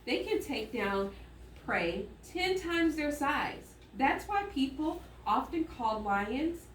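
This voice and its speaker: A woman teaching children through an interactive read-aloud, thinking out loud and checking in with her listeners. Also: high-pitched, very animated, slow. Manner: thinking aloud with musing hums and exclamations. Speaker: elementary school teacher. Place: classroom with echo. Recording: roomy, distant mic, faint noise.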